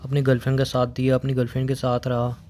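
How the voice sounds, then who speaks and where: A young man on a phone call. He has been crying, sounds hoarse, and speaks in a subdued, halting way, slow to open up. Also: mid-range voice, monotone, darkish tone. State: tearful, hoarse. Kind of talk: emotional phone conversation.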